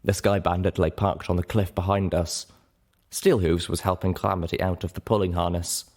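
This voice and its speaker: A man narrating a fantasy audiobook in an expressive, scripted reading style. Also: sits low, a little fast. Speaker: audiobook narrator and show host.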